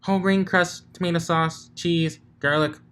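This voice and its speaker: A man's voice: fairly high pitch, steady tone, moderate pace, a bit bright, smooth, voice pushed up, close to an everyday voice. A man voicing a hyper, cheerful female pony with a bubbly, teasing manner in a storybook reading. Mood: giddy, playful.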